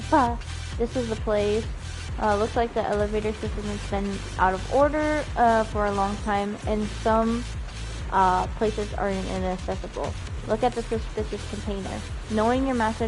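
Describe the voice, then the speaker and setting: Female game streamer, higher register, lively and informal, clear game music underneath. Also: rough recording. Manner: giggly, animated reactions, stumbles when reading aloud. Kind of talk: livestream chat while reading game dialogue aloud. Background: video game music.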